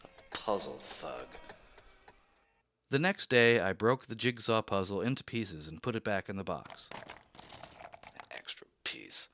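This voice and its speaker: A man's voice: fairly low pitch, big pitch swings, takes it slowly, long pauses, a bit bright, slight background noise. A man narrating his own story in a radio drama, anxious and growing obsessive.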